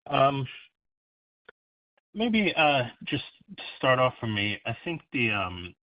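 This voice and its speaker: A man's voice: medium pitch, big pitch swings, slow, no room sound. A man calling in as an analyst, asking questions politely and semi-formally with hesitant, hedging phrasing. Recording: distant, dull conference phone line.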